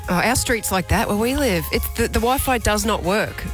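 A woman's voice: medium pitch, lively intonation, normal speed, soft background music. An Australian woman chatting casually as a radio co-host.

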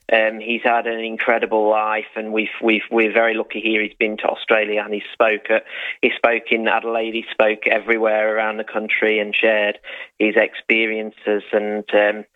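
A man from the English Midlands with a Midlands accent, calling in to a radio show and chatting in a halting way with many restarts. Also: lowish voice, monotone. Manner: hesitant, full of restarts. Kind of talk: casual phone-in chat. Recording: tinny phone line.

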